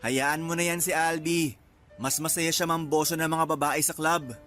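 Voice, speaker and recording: Cocky, joking young Filipino boyfriend. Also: male voice, on the high side, level tone, moderate pace, bright, forceful tone, soft background music. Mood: teasing. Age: young adult. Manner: breezy, joking, teasing. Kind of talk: Filipino radio drama acting.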